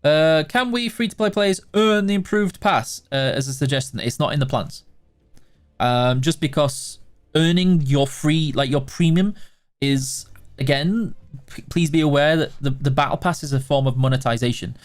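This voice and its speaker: A man's voice: a little high, moderate pace. A man with a Yorkshire accent, streaming a game and casually answering viewer questions in a chatty, self-deprecating way. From Yorkshire, England.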